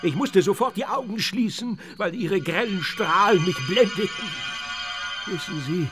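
Pompous, highish-voiced eagle owl. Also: male voice, animated, a little slow, over music. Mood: dramatic indignation. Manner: theatrical, formal, aggrieved storytelling. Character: pompous, dramatic old male eagle owl. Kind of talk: children's radio play acting.

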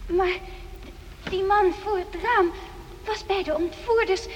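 An actress playing a young girl queen in a radio play, timid yet strong-willed, speaking in a halting, childlike way. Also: very high voice. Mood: wary. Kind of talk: radio drama acting. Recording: reverberant hall sound with faint noise.

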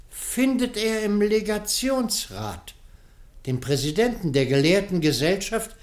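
A man playing a pompous public prosecutor in a radio play, pleading in court with declamatory speech and rhetorical pauses. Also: fairly high pitch.

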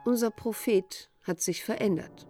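An actress playing a composed narrator in a radio drama, telling the story with faint irony. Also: female voice, mid-range voice, unhurried, mellow, soft background music, close to an everyday voice. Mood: detached, dry. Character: composed female narrator chronicling a prophet's rise.

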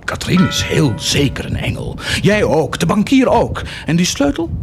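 An actor playing a proud, cruel, blasphemous warlord in a theatrical radio drama, declaiming and mocking. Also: male voice, on the high side, wildly swooping pitch, moderate pace, vocal fry, over music, full character voice. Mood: bitter, blasphemous. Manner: declamatory, mocking, swinging from hushed to shouted.

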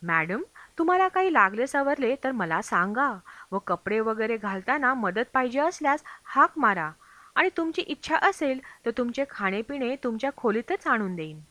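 A woman narrator plays a helpful ship's stewardess in an audiobook, good-natured and deferential. Her voice is fairly high and the recording is very dry. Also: very animated, normal speed, pushed and bright, no room sound. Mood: obliging. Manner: deferential, obliging.

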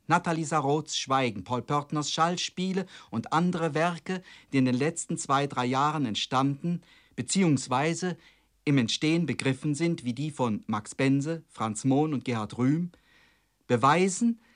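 A man delivering a formal scripted radio lecture in the style of the late 1960s, in a measured, emphatic and rhetorical manner. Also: a little high. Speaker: head of radio drama department introducing a play.

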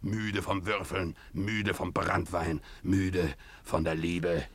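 Deep, swaggering pirate sea captain. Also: male voice, little pitch movement, slow, fairly bright tone, slightly rough, heavily acted. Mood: boastful, brooding. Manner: theatrical, swaggering, bellowing calls. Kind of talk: German radio play acting.

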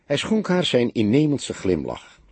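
Very husky male audiobook narrator. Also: mid-range voice, wildly swooping pitch, measured pace, darkish tone, no room sound. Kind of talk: scripted dramatic story narration.

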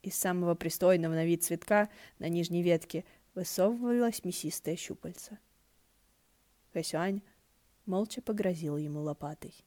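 A woman reading fan fiction aloud as an audiobook narrator, in an expressive storytelling style. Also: on the low side, normal speed, no room sound.

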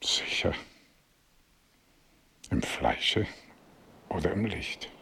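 An actor in a radio play voicing an elderly, calm, knowing statesman who reminisces in a measured, wistful way. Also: deep voice, creaky, in a whisper, exaggerated voice. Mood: quiet, assured. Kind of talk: German radio play acting. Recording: loud flute music behind the voice.

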